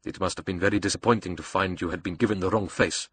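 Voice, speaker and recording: A man voicing a calm, controlling villain in an audiobook, soft-spoken and formal with an edge. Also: deep voice, fast, slightly rough. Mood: polite. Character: cold, calm, controlling male villain mastermind. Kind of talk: audiobook character dialogue.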